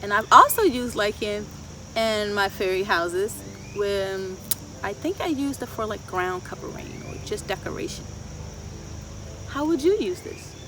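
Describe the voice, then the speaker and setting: A woman in her thirties presenting to young children outdoors, asking them questions in an expressive sing-song way. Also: medium pitch, unhurried. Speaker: children's story time host and garden educator. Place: outdoors in a wooded garden. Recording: clear outdoor background noise, insects chirring. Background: insects and outdoor ambience.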